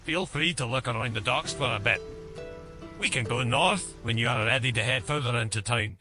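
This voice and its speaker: A man's voice: medium pitch, moderate pace, bright, forceful tone, faint music behind, natural voice. A man playing a bard companion in a fantasy audio game, with scripted character delivery. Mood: helpful.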